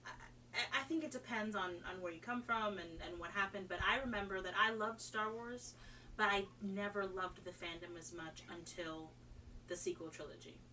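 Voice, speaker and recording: Woman, podcast guest, bright forceful voice, rambling and enthusiastic, recorded away from the mic. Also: medium pitch, normal speed. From Trinidad, moved to America at age five. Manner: rambling, enthusiastic, self-interrupting. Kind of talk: casual chat about fandom. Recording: distant mic, faint noise and hum.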